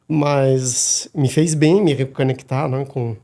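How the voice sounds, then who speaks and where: A middle-aged man, a guest on a podcast, talking casually and haltingly with many restarts and repeated words. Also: mid-range voice, steady tone, mellow. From Madagascar. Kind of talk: casual interview talk.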